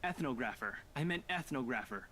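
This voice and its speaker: A man voicing a skeptical, rational young man in a visual novel game, speaking in a calm, matter-of-fact and dismissive way. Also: medium pitch, fairly quick, slight background noise.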